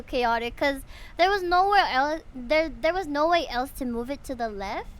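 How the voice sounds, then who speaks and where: A woman's voice: high voice, a bit bright, a little hiss or hum. A young woman from the Philippines streaming a game, muttering to herself and swearing as she works through a puzzle. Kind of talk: casual gaming stream commentary.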